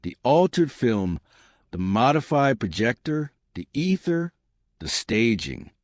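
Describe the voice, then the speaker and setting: A man voicing an elderly woman detective in an audiobook mystery, quiet, measured and shrewd. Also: mid-range voice, big pitch swings, no room sound, studio quality. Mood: thoughtful.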